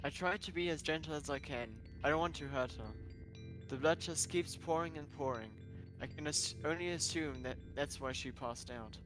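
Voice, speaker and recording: A man's voice: fairly high pitch, normal speed, over music, close to an everyday voice, rough recording. A man reading a visual novel in character as an earnest, guilt-ridden young half-carnivore animal, with a hesitant manner. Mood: brooding. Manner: hesitant, stammering.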